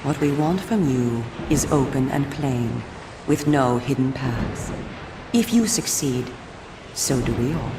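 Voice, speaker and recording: An actress voicing a solemn, coolly persuasive female vampire resistance agent in a video game, speaking in a formal, measured, theatrical way. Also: a bass voice, expressive, echoey room, background noise, exaggerated voice. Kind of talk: scripted video game cutscene acting.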